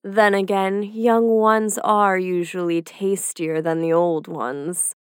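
A woman's voice: medium pitch, fairly even intonation, slow, smooth, no room sound, clean studio sound, lightly acted. A woman voicing a cunning, hungry male wolf who speaks with sly, purring menace. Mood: scheming.

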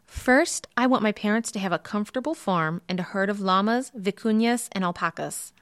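A woman's voice: mid-range voice, normal speed, no echo, clean studio sound, natural voice. A woman voicing a brave, earnest young peasant girl in a storybook tale.

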